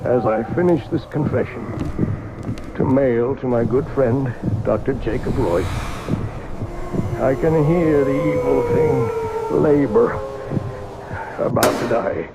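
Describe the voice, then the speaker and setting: Slow, doomed, brooding man. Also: medium pitch, huge pitch swings, very noisy, full character voice. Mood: grim, despairing. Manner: brooding, dramatic, deliberate phrasing. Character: guilt-ridden, doomed man confessing, grimly vengeful. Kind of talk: old-time radio horror monologue. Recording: old radio broadcast recording. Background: loud dramatic music.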